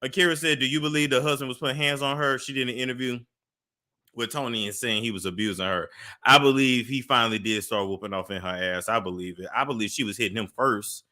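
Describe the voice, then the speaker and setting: A man's voice: medium pitch, fast talker, sharp tone. A young man hosting a gossip commentary show, laughing and mocking the clips he reacts to. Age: young adult. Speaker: commentary host.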